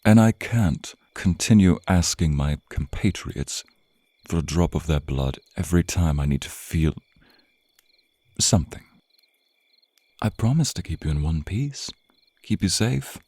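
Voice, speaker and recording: A man's voice: low-pitched, animated, a little slow, pauses often, mellow, breathy edge, no room sound, heavily acted. A man voicing a suave, predatory vampire in an intimate ASMR roleplay, with a teasing, possessive, theatrical drawl and murmured asides. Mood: persuasive, possessive.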